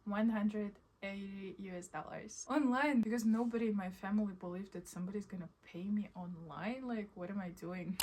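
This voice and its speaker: A woman's voice: mid-range voice, darkish tone. Young woman. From Kazakhstan. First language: Russian. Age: 20s. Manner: candid, casual, fond of slang. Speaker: vlogger. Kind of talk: personal storytelling vlog.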